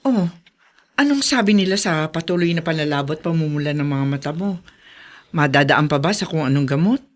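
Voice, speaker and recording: Frank low-voiced Filipino woman friend. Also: lively intonation, brisk. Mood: concerned, probing. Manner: probing, frank. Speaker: actress in a drama. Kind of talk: Filipino radio drama acting.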